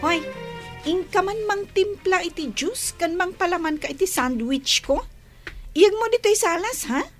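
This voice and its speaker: A woman's voice: very high-pitched, measured pace, faint music behind. A woman acting a spoiled young rich girl in a Filipino radio drama, haughty and demanding. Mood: haughty, bossy.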